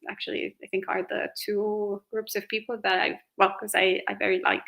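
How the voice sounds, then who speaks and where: A woman with an Italian accent hosting a casual live question-and-answer chat, talkative and quick to laugh. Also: medium pitch, level tone, gravelly, fairly bright tone, rough recording. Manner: chatty, laughs often, fillers like "you know". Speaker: host reading viewer questions.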